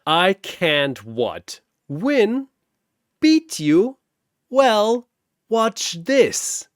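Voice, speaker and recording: Storybook pirate hero, played by a male narrator: battered, cheeky, smug, pitched up, dry sound. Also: very animated, extremely slow, no echo, full character voice. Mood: taunting. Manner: smug, quippy. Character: cocky, battered comic pirate underdog, male. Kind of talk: storybook-style character voice.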